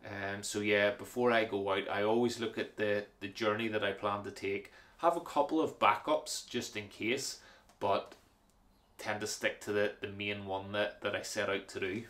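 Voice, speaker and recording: Casual male vlogger, lowish voice, practical and unhurried, lots of ums. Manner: relaxed, practical, frequent fillers and repeated words. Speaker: hiking vlogger. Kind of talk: casual gear walkthrough.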